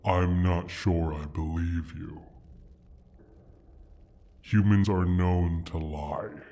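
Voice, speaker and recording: A man playing an injured, menacing dragon who guards his gold hoard, speaking in a slow, deliberate, husky voice in an ASMR roleplay. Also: fairly even intonation, dark tone, heavily acted. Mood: suspicious, menacing. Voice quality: husky, breathy, deep.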